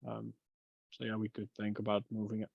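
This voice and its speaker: A man talking casually and haltingly through technical matters in an online meeting. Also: on the low side, completely flat delivery, creaky, no room sound. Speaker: working group member giving a technical update. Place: online conference call. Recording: slightly dull, lo-fi call audio.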